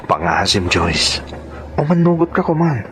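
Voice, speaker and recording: A man's voice: mid-range voice, big pitch swings, measured pace. Man acting a pushy, coaxing suitor. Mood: pleading. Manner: insistent, coaxing. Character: pushy, pleading suitor who will not take no. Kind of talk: radio drama acting.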